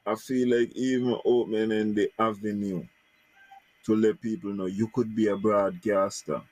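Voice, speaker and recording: Male talk host: lowish voice, dry close sound, loose conversational questions. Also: steady tone, moderate pace, a little mellow, no room sound. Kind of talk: casual interview chat.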